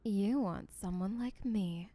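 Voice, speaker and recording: A woman's voice: medium pitch, slow pace, mellow. A woman playing an obsessive, boastful yandere sibling in a comedic audio roleplay, rambling in a smug, teasing, sweetly menacing way. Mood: mocking, gloating.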